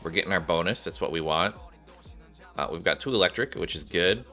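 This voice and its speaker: Deep-voiced man streaming a game. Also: a little fast, pushed and bright, faint music behind. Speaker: streamer playing and commenting on a mobile game. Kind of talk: casual gameplay commentary with read-aloud dialogue.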